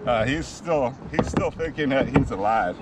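A man in his thirties fishing from a kayak on open coastal water, narrating casually into a boat-mounted action camera and whooping with excitement. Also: medium pitch, a little slow. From California. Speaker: kayak angler filming himself. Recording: wind and water noise outdoors.

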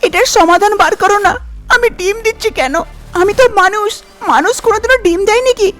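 A woman's voice: extremely high pitch, fast, fairly bright tone, pitched above the performer's own voice. A woman voicing an anxious young wife in a cartoon story, exclaiming and pleading. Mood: distressed, pleading.

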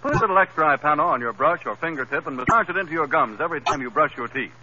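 Lively high-voiced 1930s radio announcer. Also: male voice, rapid-fire. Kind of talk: 1930s radio commercial reading. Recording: dull old radio broadcast with faint hiss.

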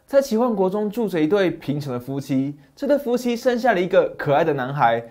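A middle-aged man narrating a fairy tale as a channel host, with a dramatic, animated manner, on a lapel mic in a small dim study. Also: sits high, brisk. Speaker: storyteller host.

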